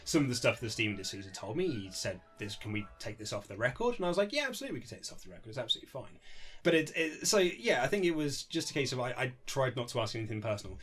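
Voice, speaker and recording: A man's voice: medium pitch, very animated, fast talker, a bit bright. Male author, informal interview guest, chatty and emphatic, faint music beneath an amateur recording. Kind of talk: casual interview chat.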